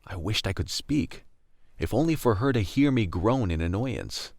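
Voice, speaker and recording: A man's voice: lowish voice, animated, moderate pace, creaky, a little mellow, dry recording, clean studio sound. A man acting as a weary, wry young survivor, narrating a radio drama in the first person. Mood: gritty, defiant. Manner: wry, brooding, world-weary. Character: weary, gritty young male survivor narrating a post-collapse story.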